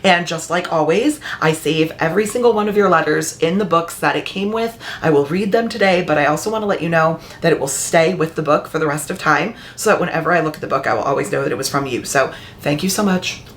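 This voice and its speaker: A woman book vlogger talking in a casual, heartfelt way, her voice a little raspy and hoarse after being ill. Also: sits low, quick pace, hardly any pauses. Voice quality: slightly raspy. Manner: chatty and heartfelt. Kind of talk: casual vlog chat. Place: upstairs room at home.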